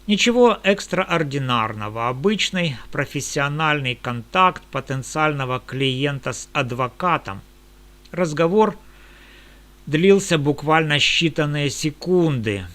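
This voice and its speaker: A man who works as a lawyer, giving a measured, indignant monologue in formal legal language. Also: a little high, animated, fairly bright tone.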